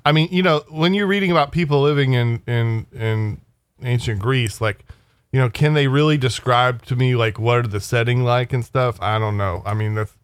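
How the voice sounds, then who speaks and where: A man's voice: medium pitch, normal speed, smooth, dry recording. Male co-host, hesitant and rambling, dry sound. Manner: hesitant, trailing off, lots of fillers. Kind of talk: casual discussion.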